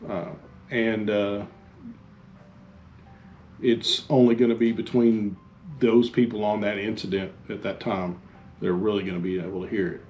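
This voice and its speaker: A man giving an informal instructional talk as a fire chief, halting and plain-spoken. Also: on the low side, little pitch movement, darkish tone, faint music behind. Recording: faint background noise.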